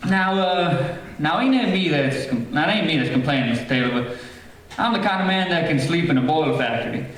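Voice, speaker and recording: A man's voice: a little high, expressive, brisk, fairly bright tone, a little hiss or hum. Man playing an affable police sergeant neighbor, rambling, old radio acting, very echoey, distant microphone. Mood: chatty, probing. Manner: folksy, rambling, nosy. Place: theatre stage. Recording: echoey theatre, distant mic.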